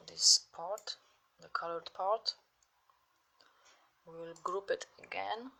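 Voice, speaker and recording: A woman with a Polish accent, giving step-by-step instructions in a software tutorial over mouse clicks. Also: deep voice, gravelly, rough recording. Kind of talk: screen-recorded software tutorial.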